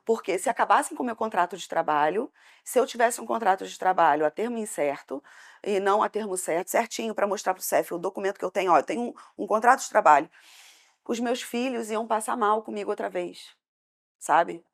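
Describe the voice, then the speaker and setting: A woman's voice: mid-range voice, a little fast, vocal fry. A woman with a Brazilian Portuguese accent, speaking as a debate panelist in an impassioned, hesitant way.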